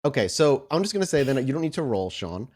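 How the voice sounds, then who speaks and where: A man acting as game master, narrating a tabletop horror roleplaying game with descriptive, deliberate storytelling. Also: medium pitch, big pitch swings, darkish tone.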